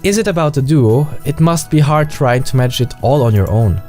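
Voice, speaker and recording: A soft, caring young woman friend read aloud by a man with a German accent and a middle-pitched, plain delivery. Faint music sits low under the voice. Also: lively intonation, fairly quick, close to an everyday voice. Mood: gentle.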